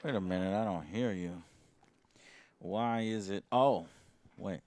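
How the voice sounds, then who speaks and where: A man's voice: low voice, unhurried, a little mellow, no room sound. A man hosting a board game, casually explaining the rules and partly reading them aloud. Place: indoor game table on a livestream.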